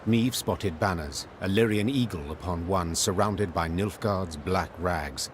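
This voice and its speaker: A man narrating a fantasy video game like a storybook, composed, wry and measured in a literary way. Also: low voice, slight background noise.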